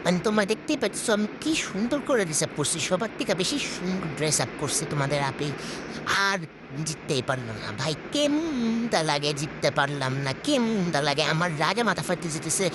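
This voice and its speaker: Female gaming host, Bangladeshi accent, slightly low voice run through a voice changer, chatty and exclamatory, clear background noise. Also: sing-song, moderate pace. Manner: chatty, exclamatory, self-talking, repeats words. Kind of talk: casual gameplay commentary.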